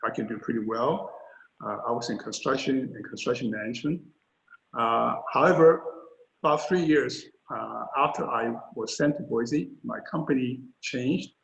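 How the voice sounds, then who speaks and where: Older man, gravelly, roomy sound. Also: medium pitch, fairly bright tone, muffled recording. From Hong Kong. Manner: candid, self-deprecating, many fillers. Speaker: interview guest. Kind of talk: storytelling in a panel conversation.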